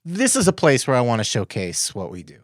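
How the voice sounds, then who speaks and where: A man's voice: mid-range voice, huge pitch swings, a little fast, no echo, studio quality. A man hosting a casual interview, chatting in an enthusiastic, conversational way. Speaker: podcast host, festival director.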